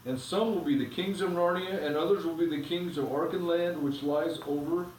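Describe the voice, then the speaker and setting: A man reading aloud, voicing a majestic, kind male lion king in a grave, measured way. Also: a little high, darkish tone, slight background noise, voice pushed up, close to an everyday voice. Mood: solemn. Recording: echoey room, from a distance.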